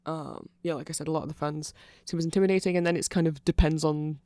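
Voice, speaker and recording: A man with a fairly high, crackly voice talks through an ASMR explainer. The recording is very dry. He rambles and corrects himself as he goes. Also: fairly even intonation, quick pace, mellow, no room sound. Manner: rambling, self-correcting, chuckling asides. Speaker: ASMR creator explaining band lore.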